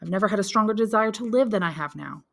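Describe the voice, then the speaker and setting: A woman's voice: medium pitch, fast, creaky, pitched above the performer's own voice. A woman voicing an earnest, resolute young man who firmly reassures his mother in an audiobook. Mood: reassuring. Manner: firm, reassuring, insistent. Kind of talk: audiobook character dialogue.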